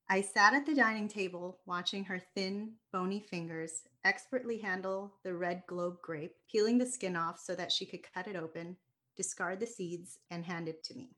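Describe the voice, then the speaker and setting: A woman's voice: medium pitch, bright, forceful tone. An American woman hosting a podcast, telling a personal family story in a reflective, earnest way with light laughs and restarted words. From United States. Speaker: podcast host.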